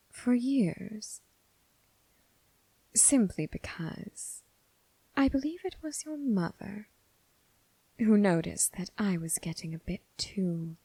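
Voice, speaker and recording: A dangerous, seductive lady mob boss voiced by a woman murmurs close and dry into the mic. Her voice is dark and husky, often sinking toward a whisper. She teases with formal, deliberate phrasing, predatory and coolly amused, in an intimate ASMR roleplay. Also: medium pitch, no room sound, exaggerated voice, rough recording. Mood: resentful, predatory. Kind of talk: ASMR roleplay, scripted character acting.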